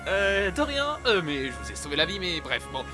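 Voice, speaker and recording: An actor playing an eager, flustered rookie agent with a youthful voice in an audio drama, excitable and hesitant. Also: male voice, high voice, normal speed. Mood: nervous, starstruck. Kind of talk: French audio drama acting. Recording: music under the voice.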